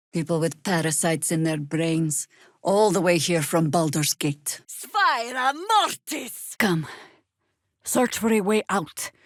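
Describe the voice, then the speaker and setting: Weary veteran female druid for a fantasy game: lowish, unhurried, sardonic, battle-hardened. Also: no room sound. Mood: fierce incantation. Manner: dry, wry, measured. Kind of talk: fantasy video game voice acting.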